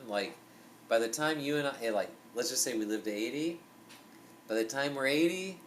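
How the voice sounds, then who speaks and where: Rambling, animated young man podcaster. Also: lowish voice, a little hiss or hum. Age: young adult. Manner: animated, rambling, lots of fillers and swearing. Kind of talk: casual chat. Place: small indoor room, basement studio.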